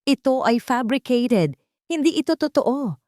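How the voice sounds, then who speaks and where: A woman narrator puts on a scheming husband in an audio melodrama. He protests insistently, cornered and furious, with big swings in pitch. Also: animated, a little slow, darkish tone, dry recording, clean studio sound. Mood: furious denial.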